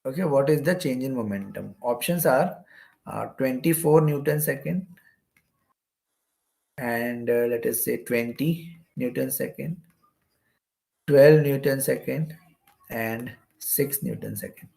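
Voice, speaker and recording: Gravelly Indian-accented man teaching in a roomy space. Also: mid-range voice, a little slow, long pauses, dark tone. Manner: repeats phrases and checks with "okay". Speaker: physics teacher. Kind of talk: explanatory exam-prep lecture working through problems step by step. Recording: roomy echo.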